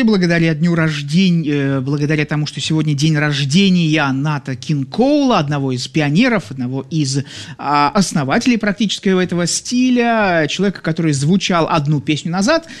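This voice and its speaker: Говорливый ведущий-мужчина, слегка картавит. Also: male voice, on the high side. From Leningrad, Russia. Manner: chatty, hesitant, many fillers, slight burr on r. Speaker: radio-style music show host. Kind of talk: casual live-stream chat about music.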